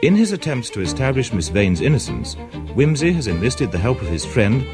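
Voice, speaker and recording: A man's voice: mid-range voice, big pitch swings, brisk. A man reading scripted recap narration for a radio drama. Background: violin music.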